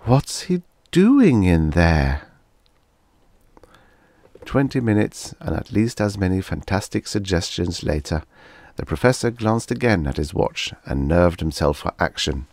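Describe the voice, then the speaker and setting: A male audiobook narrator with a deep, creaky voice. He reads scripted humorous fiction expressively, with lively pitch movement. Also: normal speed, no room sound, clean studio sound.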